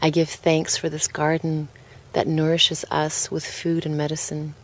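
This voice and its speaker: A woman narrating a guided relaxation in a calm, gentle and unhurried way, leaving pauses between phrases. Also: sits low.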